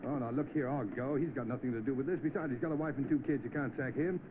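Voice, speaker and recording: An actor with a British accent playing a plain-spoken, defiant young chauffeur in an old film. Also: male voice, mid-range voice, quick pace, close to an everyday voice. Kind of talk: vintage film acting. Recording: tinny, noisy old film soundtrack.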